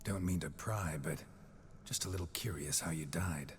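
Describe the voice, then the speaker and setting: A man's voice: sits low, moderate pace, natural voice. An actor playing a terse, dry-humored male monster hunter in a video game. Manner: dry, clipped and understated. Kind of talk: video game cutscene acting. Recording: game audio with noisy ambience.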